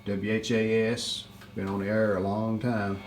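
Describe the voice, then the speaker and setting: An older man giving a hands-on repair walkthrough in plain-spoken, halting phrases. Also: fairly low pitch, little pitch movement, noisy background.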